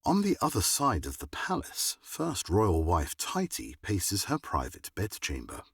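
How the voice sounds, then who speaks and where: A man's voice: deep voice, huge pitch swings, measured pace, gravelly, fairly bright tone, husky, no echo. A man reading scripted dramatic narration for an audio drama.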